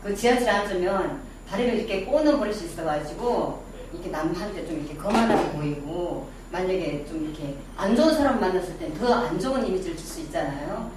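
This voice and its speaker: Middle-aged woman, Daegu accent, chatty lecturer who quizzes the class, caught from afar in a very echoey room. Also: mid-range voice. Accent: Daegu Gyeongsang dialect. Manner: chatty, quizzes the audience, self-deprecating asides. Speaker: image-making lecturer. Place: lecture room with a small audience. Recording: very echoey room, distant mic, background noise.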